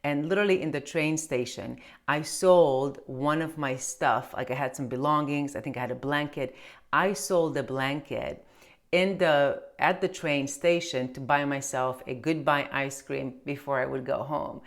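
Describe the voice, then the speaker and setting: Low-pitched woman in her 40s, chatty, lots of ums and restarts. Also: fairly even intonation, moderate pace. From Romania. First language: Romanian. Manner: chatty, many fillers and restarts. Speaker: accountant and business owner. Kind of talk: casual chat to camera.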